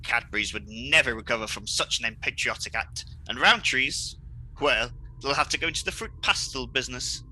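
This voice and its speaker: A man's voice: medium pitch, fairly quick, sharp tone, rough recording. A man playing a pompous, gleeful, scheming supervillain in a comedic radio play, mocking and melodramatic. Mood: smug.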